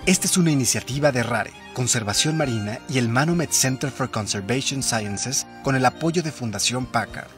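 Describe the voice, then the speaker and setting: A man's voice: mid-range voice, expressive, normal speed, hardly any pauses, close to an everyday voice. A man reading a scripted introduction as the announcer of a radio drama. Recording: music under the voice.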